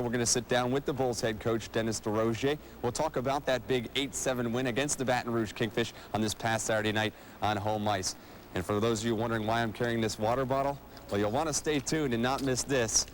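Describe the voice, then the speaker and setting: A man's voice: mid-range voice, a little hiss or hum. A man hosting a sports show, speaking in a polished broadcast style as he presents and interviews. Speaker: TV sports show host and play-by-play announcer. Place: arena civic center.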